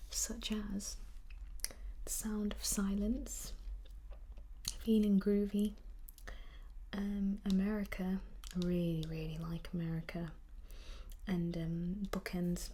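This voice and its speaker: Woman, whispered ASMR, slightly croaky, flat even tone, unhurried. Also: medium pitch. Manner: mostly whispered, with frequent ums. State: recovering from illness, at times croaky. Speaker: ASMR creator. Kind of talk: soft-spoken ASMR chat.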